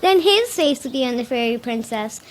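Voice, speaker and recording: A female performer plays a shy little girl in a radio drama. Her voice is high and childlike, her delivery artless and innocent, with noisy outdoor ambience around her. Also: lively intonation, moderate pace. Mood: innocent, chatty. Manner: artless, plain-spoken. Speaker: child character in audio horror drama. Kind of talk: naturalistic radio drama acting.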